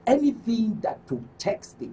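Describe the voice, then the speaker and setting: A man's voice: sits high, sing-song, unhurried, warm tone, distant mic, a little hiss or hum. A man giving a formal answer as a candidate guest in an interview. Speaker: guest, guild election candidate.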